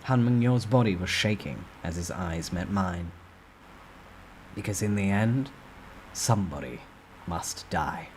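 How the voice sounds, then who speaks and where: A man's voice: low voice, lively intonation, measured pace, pauses often, slight background noise, rough recording. A man reading a fantasy novel aloud as a dramatic, suspenseful first-person narrator. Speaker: amateur story narrator.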